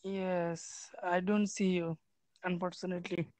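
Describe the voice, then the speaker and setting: A man's voice: sits high, level tone, a little slow, darkish tone, dry recording. Young man, hesitant broken English, dry close sound. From Bangladesh. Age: young adult. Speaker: English learner, student. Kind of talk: halting conversation practice.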